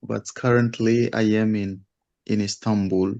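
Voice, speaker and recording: Slow, low man on muffled call. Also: little pitch movement, creaky, dark tone. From DR Congo. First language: French. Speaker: participant joining the call. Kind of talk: casual self-introduction.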